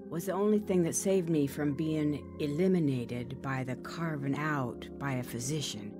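A woman playing an American who sombrely narrates her own painful life story in a radio drama. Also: deep voice. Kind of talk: scripted first-person radio drama narration.

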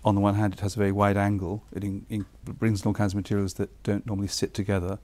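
A man's voice: fairly low pitch, completely flat delivery, fast talker, darkish tone. A male publisher speaking thoughtfully in an interview, often repeating words as he searches for phrasing. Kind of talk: interview remarks.

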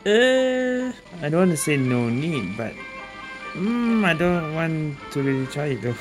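A woman gaming creator comments casually as she plays. Her delivery is hesitant and amused, part muttered reaction and part reading aloud. Game music runs clearly underneath. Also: sits low, big pitch swings, unhurried, poor audio. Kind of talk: casual gameplay commentary, reading on-screen text.

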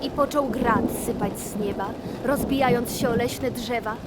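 A woman narrating a rhymed children's fairy tale in an expressive, deliberate storybook manner. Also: fairly high pitch, very noisy. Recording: music behind the voice. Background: loud music.

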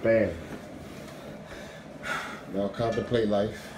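A man's voice: fairly low pitch, little pitch movement, very slow. Guy coaching a workout in his home gym, short repeated orders, casual slang, phone recording with lots of noise behind. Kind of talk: coaching commands during exercise. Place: small home gym room, indoors. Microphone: handheld phone camera.

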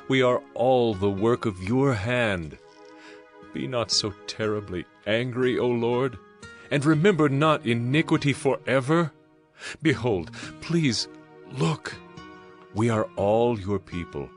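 An actor playing a solemn man pleading with God in a dramatized Bible reading. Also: fairly low pitch. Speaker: scripture narrator. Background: music.

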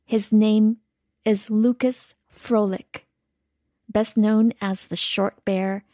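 A woman co-host reading a scripted podcast introduction at a measured pace. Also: mid-range voice, flat delivery, warm tone, no room sound, studio quality.

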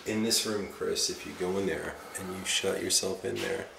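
A man's voice: low voice, fairly even intonation, moderate pace, creaky. A man exploring an abandoned house who talks casually and keenly urges the others to try things. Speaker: fellow explorer. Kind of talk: casual chat. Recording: distant, echoey, very noisy.

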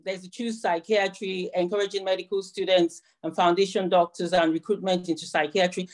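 A woman's voice: mid-range voice, poor audio. A middle-aged woman giving a prepared, earnest talk as a webinar panelist over a video call, sometimes repeating words. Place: small room at home, indoors.

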